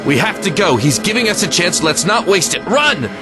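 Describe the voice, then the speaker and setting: A man's voice: high-pitched, big pitch swings, moderate pace, music in the background. A man playing a firm, protective army officer in a war audio drama, giving clipped orders. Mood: urgent.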